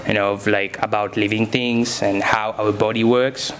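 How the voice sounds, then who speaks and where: A man's voice: lowish voice, flat delivery, moderate pace, a bit bright, slight background noise. A young man, answering interview questions as a science teacher in simple, enthusiastic phrases.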